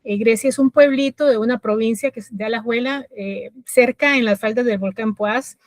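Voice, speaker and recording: A middle-aged woman from Costa Rica with a Costa Rican accent, a guest telling her life story in a reflective way with many pauses and fillers. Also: on the high side, no room sound, clean studio sound. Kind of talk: personal story interview.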